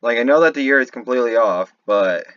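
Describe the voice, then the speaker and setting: Male gaming commentator, mid-pitched, casual and halting, thinking aloud on a dull, lo-fi setup. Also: expressive, a bit bright. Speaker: YouTube commentator. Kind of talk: casual off-the-cuff game lore analysis.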